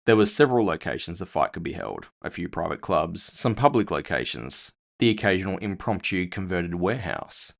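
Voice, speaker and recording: A man's voice: low voice, big pitch swings, normal speed, gravelly, hardly any pauses. A man narrating scripted first-person fiction with a wry storytelling manner.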